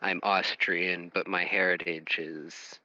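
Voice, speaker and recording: Man, very low voice, slow pace, bright tone, dry mic. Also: level tone, dry recording, dull audio. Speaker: co-host. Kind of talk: casual panel discussion.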